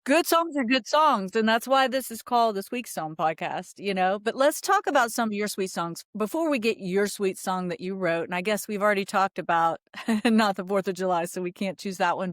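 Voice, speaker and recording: Female podcast host, medium pitch, dry close mic. Casual, appreciative, rambling conversational delivery, thinking out loud. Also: animated, moderate pace, no room sound.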